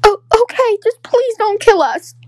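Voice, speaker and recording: Woman as young camper girl, roleplay, excitable, easily frightened, high animated voice. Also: a little slow, no echo, studio quality. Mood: terrified. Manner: pleading, breathlessly dramatic.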